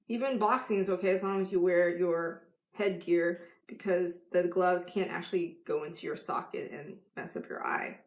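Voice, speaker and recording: A woman's voice: medium pitch, gravelly, reverberant, poor audio. A woman who is a life coach talks in a casual live vlog, chatty and rambling, with many restarts.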